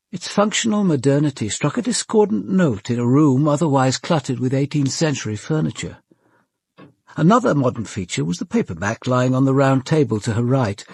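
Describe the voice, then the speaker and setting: A reserved English police commander telling his case in a radio drama. The voice is gravelly and husky, in a dry, close sound. His delivery is reflective and precise, with lively intonation. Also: medium pitch, no room sound, exaggerated voice. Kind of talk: first-person dramatic narration.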